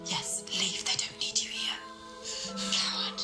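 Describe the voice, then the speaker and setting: A woman playing an insidious voice in a warrior's head in a video game, goading in a breathy whisper. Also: slow pace, natural voice. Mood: cruel, taunting. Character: taunting female voice inside a tormented warrior's head. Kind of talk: dramatic video game acting. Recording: echoing whispers over music.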